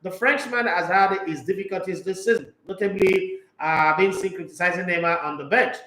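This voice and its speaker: Animated high-voiced man. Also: normal speed, reverberant, low-quality recording. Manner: animated, opinionated, jokey asides. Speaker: sports talk show host. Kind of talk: casual sports talk, reading news and viewer comments.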